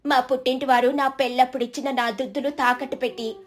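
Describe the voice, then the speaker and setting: A woman voicing a gentle, devoted wife who speaks in a reassuring, coaxing way. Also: high voice, little pitch movement, quick pace, lightly acted. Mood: concerned, coaxing. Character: gentle, devoted wife comforting her worried husband.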